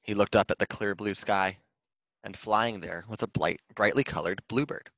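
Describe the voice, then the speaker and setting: A man's voice: lowish voice, normal speed, a bit bright, dry recording, highs cut off. A man reading a children's storybook aloud in scripted narration. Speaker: storybook reader.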